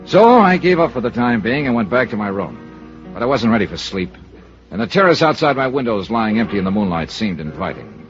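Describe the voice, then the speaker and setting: A man's voice: fairly low pitch, very animated, a little fast. An American man playing a tough, wry insurance investigator in a 1950s radio detective drama. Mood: reflective narration. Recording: dull old radio recording, faint music.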